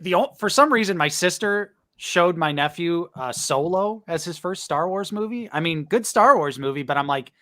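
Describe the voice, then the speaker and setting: A man in his twenties co-hosting a casual chat, easygoing and quick to laugh. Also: sits high, animated, pushed and bright. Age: 20s. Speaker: co-host.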